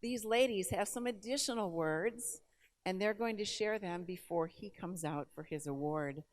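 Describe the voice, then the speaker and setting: A woman's voice: medium pitch, moderate pace. A woman speaking as master of ceremonies, announcing to a live audience. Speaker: event host, master of ceremonies.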